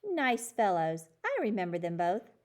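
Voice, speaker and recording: A woman reading the part of a friendly, reasonable male principal in a children's storybook, in a measured, conciliatory manner. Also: mid-range voice, big pitch swings, darkish tone. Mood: kindly, approving.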